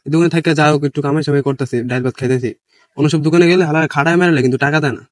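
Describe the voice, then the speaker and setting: A man's voice: fairly high pitch, rapid-fire, dry recording, studio quality, close to an everyday voice. A man with a Bangladeshi accent playing a scrappy, bossy shop worker in a comedy skit, speaking colloquially. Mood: soothing. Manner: repeats challenges, colloquial rural speech. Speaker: comic actor in an online skit. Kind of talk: broad comedy skit acting.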